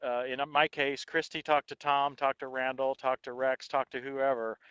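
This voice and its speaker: A man giving a casual, explanatory product review as host, in a halting way with check-in questions, recorded through an intercom headset microphone. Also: mid-range voice, little pitch movement, a bit bright, clean tone, dry recording, highs cut off.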